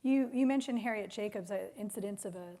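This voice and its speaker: A woman's voice: medium pitch, brisk, dark tone. A woman moderating an onstage conversation, feeling her way into questions in a tentative manner. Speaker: interviewer, event moderator. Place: on stage in a theater.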